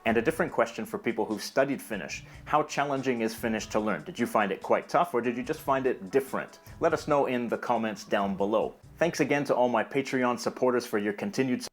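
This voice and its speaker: A man narrating a scripted educational video in a calm, even, explanatory way. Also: mid-range voice, fast, hardly any pauses, soft background music.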